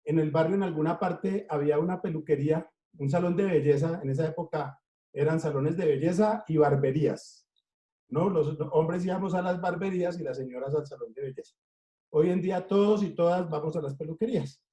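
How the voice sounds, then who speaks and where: A middle-aged Colombian man with a Colombian accent, talking in an interview at an easy, unhurried pace and stacking his points into rhythmic lists. His voice sits a little high for a man and has a mellow, dark tone. He is recorded in an echoey room. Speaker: interviewed cartoonist. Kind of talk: relaxed storytelling.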